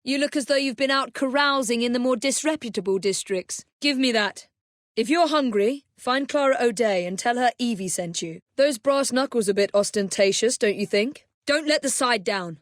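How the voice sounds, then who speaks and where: A woman's voice: on the high side, lively intonation, moderate pace, dry recording, clean studio sound, lightly acted. An actress playing a composed young Victorian woman assassin with an English accent in a video game, wry, proper and determined. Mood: brisk, then wry, teasing. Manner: crisp, proper, understated.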